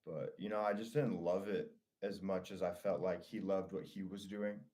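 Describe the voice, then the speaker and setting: A man's voice: low-pitched, steady tone, moderate pace, dark tone. A young man, a singer, talking in a laid-back, slangy way as an interview guest. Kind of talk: casual interview chat.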